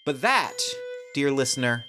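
A performer playing a grand storyteller, narrating a fantasy audio drama in a formal, measured way. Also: mid-range voice, big pitch swings, music in the background.

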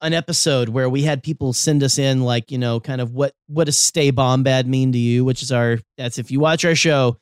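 A man's voice: medium pitch, fairly even intonation, moderate pace, hardly any pauses, clear, resonant tone, dry recording, clean studio sound. Earnest, rambling male podcast host. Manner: rambling, earnest, many restarts and fillers. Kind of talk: casual podcast chat.

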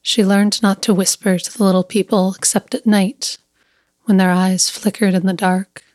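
A woman reading a scripted literary story as narrator, measured and deliberate, pausing between short phrases. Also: mid-range voice, flat delivery, a little mellow, no echo, clean studio sound.

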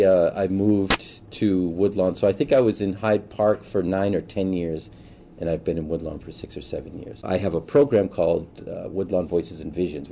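A man in his forties from Boston giving thoughtful, halting answers as a political candidate in a conversational interview. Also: deep voice, gravelly, warm tone. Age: 40s. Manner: halting, thoughtful, often pausing mid-sentence. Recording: faint background noise, room ambience.

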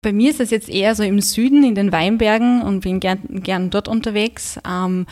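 A woman's voice: mid-range voice, normal speed, a little mellow. A woman, a guest speaking in an easygoing, slightly hesitant way. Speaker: guest, business co-founder. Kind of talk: casual interview talk.

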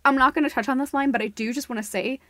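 Female podcast co-host, fairly high voice, very dry recording; casual, opinionated, analytical, loose with swearing. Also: very fast, a bit bright, no echo. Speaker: podcast co-host discussing a stage musical. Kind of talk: casual, opinionated critical discussion.

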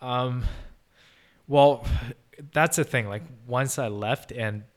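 A man's voice: medium pitch, slow pace. A young man whose first language is Chinese, talking casually as an interview guest, thoughtful and full of restarts. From China. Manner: thoughtful, many restarts and fillers. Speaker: podcast guest.

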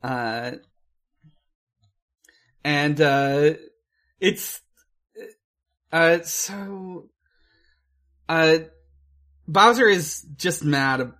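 A podcast co-host casually discussing a film in a halting, hesitant way, with casual swearing. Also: medium pitch, fairly bright tone.

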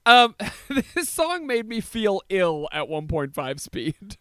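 A man's voice: high-pitched, very animated, slow pace, a bit bright, clear, resonant tone, dry recording, clean studio sound. A man, probably in his thirties, co-hosting a podcast in casual comedic chat, joking and often laughing as he talks. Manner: jokey, laughs often while talking. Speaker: podcast co-host.

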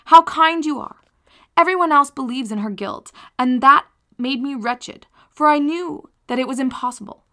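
A woman reading the lines of a gentle young woman who pleads with grief and hope. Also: high voice, moderate pace, pitched above the performer's own voice. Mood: tearful. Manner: earnest, heightened reading.

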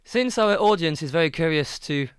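A man's voice: fairly high pitch, animated, moderate pace, a little hiss or hum. Hesitant male podcast host. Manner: hesitant, many ums and restarts. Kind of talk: casual chat.

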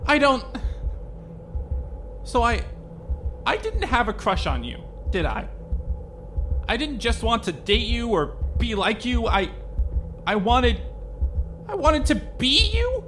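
A man playing an anxious young man in a fan audio drama, talking in a halting, rambling, self-questioning way. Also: extremely high pitch, wildly swooping pitch, a bit bright, soft background music, exaggerated voice. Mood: panicked. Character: anxious young man facing his identity.

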